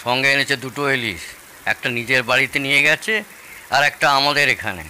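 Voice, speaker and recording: An actor playing a proud, excitable host in his sixties in an audio drama, theatrical, boastful and needling. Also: mid-range voice, normal speed, a little hiss or hum, rough recording. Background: rain.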